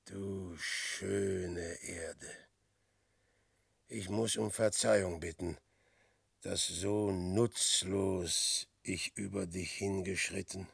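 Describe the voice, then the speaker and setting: A despairing old sinner in a sixties radio verse drama. His low voice moves very slowly and heavily, with a rough gravelly grain. He declaims in a brooding, theatrical way, like someone weighing a wasted life. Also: steady tone, a bit bright, dry recording, clean studio sound, full character voice. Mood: mournful resignation. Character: despairing old man, boastful sinner facing his death. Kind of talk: 1960s German radio drama verse acting.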